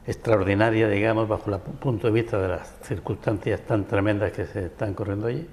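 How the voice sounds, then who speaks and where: A man's voice: on the low side, steady tone, brisk, darkish tone, big-room sound, a little hiss or hum. A man from Barcelona with a Peninsular Spanish accent, a writer and architect giving long, winding answers as a guest in a TV interview. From Barcelona, Spain. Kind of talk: TV interview conversation. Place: local TV studio.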